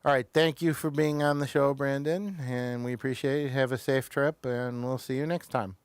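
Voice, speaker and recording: A man's voice: mid-range voice, fairly even intonation, normal speed, smooth, dry recording. A man with an American accent, hosting a casual talk show in a hesitant, wandering way, often trailing off mid-sentence.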